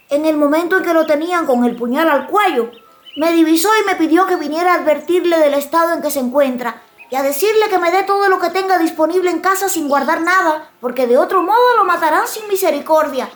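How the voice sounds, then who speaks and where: A woman's voice: very high voice, fairly bright tone, voice pushed up. A woman voicing a tiny, clever little boy in a dramatized fairy tale, speaking with earnest urgency.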